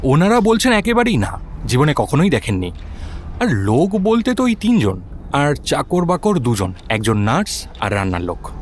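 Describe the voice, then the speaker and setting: A man playing a sharp, deferential junior police officer in an audio drama, reporting analytically and mixing in English words. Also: a little high, wildly swooping pitch, moderate pace, slight background noise. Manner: reporting to a superior, peppers speech with English. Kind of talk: detective audio drama acting.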